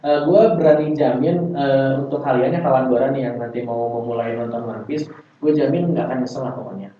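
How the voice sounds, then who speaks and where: A man chats casually and informally as a podcast guest. His mid-pitched voice comes from away from the mic, with heavy room echo. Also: fairly quick. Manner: informal, slangy. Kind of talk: casual chat. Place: podcast studio. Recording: very echoey room, distant muffled mic.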